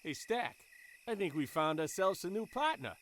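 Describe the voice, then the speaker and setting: A man's voice: sits high, big pitch swings, normal speed, fairly bright tone, slight background noise. A man playing a tough, cocky young gang leader in an audio drama, with streetwise, swaggering talk. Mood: cocky, bargaining.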